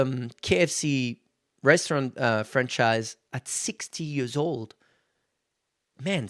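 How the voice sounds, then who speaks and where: A man in his thirties at an ordinary mid pitch, hosting his own podcast in a loose, unscripted monologue. He talks frankly, swears without restraint and keeps turning to his listeners as guys. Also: fairly bright tone. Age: 30s. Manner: candid, swears freely, addresses listeners as guys. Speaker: podcast host. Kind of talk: casual motivational monologue.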